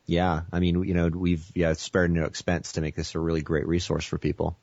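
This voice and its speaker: An American man as a guest on a teleconference interview, speaking with enthusiastic sales-pitch energy and frequent "you know". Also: completely flat delivery, fairly quick, creaky, darkish tone, no room sound, dull audio.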